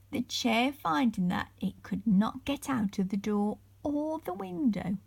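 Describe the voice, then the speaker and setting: Unhurried woman storyteller, fairly high voice. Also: vocal fry, darkish tone, husky. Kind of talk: expressive children's bedtime story narration.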